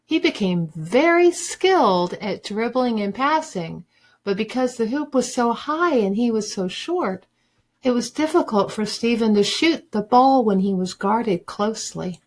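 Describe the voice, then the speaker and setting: A woman reading a children's book aloud like a teacher, with deliberate, emphatic phrasing for young listeners. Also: a little high, lively intonation. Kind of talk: expressive storybook read-aloud.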